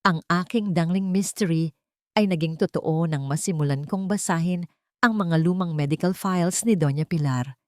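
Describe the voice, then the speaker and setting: A woman narrates an emotional audio drama in the first person. Her voice is a little low for a woman, and the scripted delivery is dramatic. The recording is very dry, with no room sound. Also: normal speed, darkish tone, dry recording. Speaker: story narrator.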